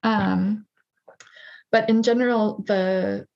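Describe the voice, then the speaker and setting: A woman, a native English speaker, explaining her survey research as a guest on a video call in a thoughtful, steady way. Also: medium pitch, takes it slowly, gravelly, muffled recording.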